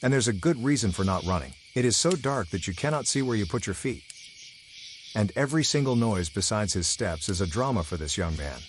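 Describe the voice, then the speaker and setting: A man's voice: lowish voice, animated, brisk, noisy background. A man who speaks English as a second language, narrating a scripted story in a theatrical, suspenseful way. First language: not English.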